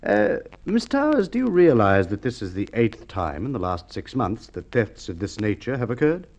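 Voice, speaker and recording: An actor playing a shrewd, formal police inspector in a Golden Age radio drama, questioning in a deliberate, coolly probing way. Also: male voice, lowish voice, sing-song, a little mellow. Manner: measured, deliberate questioning with hesitations. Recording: muffled vintage radio drama recording.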